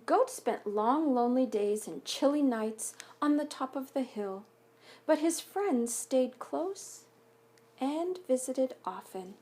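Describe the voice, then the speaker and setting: Slow-paced, higher-voiced woman reading to children. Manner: expressive, questioning, explains things simply to children. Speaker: elementary school teacher reading aloud to students. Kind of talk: children's picture book read-aloud with explanatory asides and questions.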